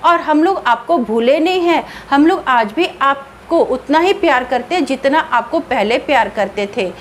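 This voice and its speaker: A woman's voice: high-pitched, lively intonation, moderate pace, a little hiss or hum. A woman voicing a young husband who earnestly pleads with a ghost. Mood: pleading.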